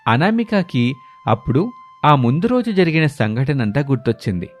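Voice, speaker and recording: A man tells a scripted moral folk tale in a mid-pitched voice, with music playing softly behind him. He delivers it as a storyteller narrating events in order. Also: sing-song, moderate pace.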